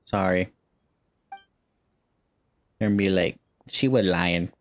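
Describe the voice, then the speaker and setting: Low, slow, laid-back woman gamer. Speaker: gaming video host. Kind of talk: casual reactions to a game story.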